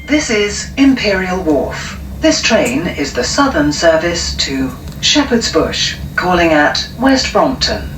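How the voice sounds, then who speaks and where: A woman reading scripted train announcements in a deliberate, list-like manner over onboard speakers. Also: lowish voice, sing-song, noisy background. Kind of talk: scripted public transport announcements. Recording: echoey, distant recording under loud music.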